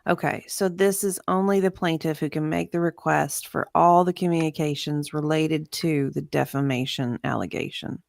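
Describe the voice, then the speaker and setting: A woman's voice: low-pitched, steady tone, normal speed, vocal fry, few pauses, a little mellow, no room sound. A woman chatting casually as a panelist, asking questions and agreeing in short repeated words. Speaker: live stream panelist. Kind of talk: casual chat.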